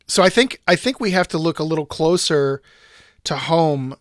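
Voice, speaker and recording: A man's voice: fairly high pitch, lively intonation, normal speed, no echo. Man musing in a dry, close recording. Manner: thoughtful, rambling with restarts and asides. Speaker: podcast host. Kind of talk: casual discussion podcast.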